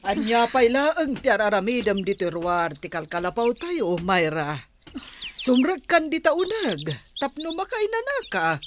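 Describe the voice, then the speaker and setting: Casting: cautioning mother, gently chiding, lively pitch, radio-play style. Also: a little slow, a little hiss or hum. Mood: worried. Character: worried, cautioning village mother. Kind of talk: Ilocano radio drama acting.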